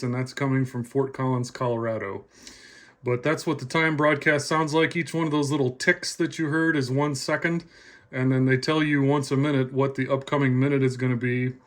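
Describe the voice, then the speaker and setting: Casual man explaining things plainly. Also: mid-range voice. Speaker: presenter, radio hobbyist.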